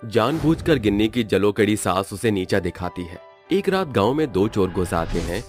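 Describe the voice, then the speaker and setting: A man narrating a cartoon story in a steady storytelling manner. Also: lowish voice, moderate pace, soft background music.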